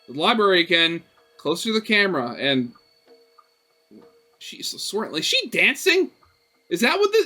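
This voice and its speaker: A man reading a visual novel aloud as a gaming host, expressive, mixing dramatic narration with informal asides. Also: sits high. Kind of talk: dramatic story narration with casual commentary.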